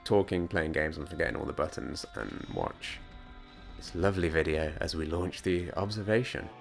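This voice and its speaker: Croaky, low-voiced British man. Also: moderate pace. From United Kingdom. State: croaky from hay fever. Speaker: gaming let's player. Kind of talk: casual gameplay commentary. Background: video game music and truck engine.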